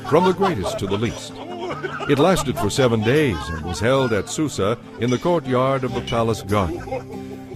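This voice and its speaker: A man narrating a dramatized audio Bible in a scripted, storytelling style. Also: lowish voice. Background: music.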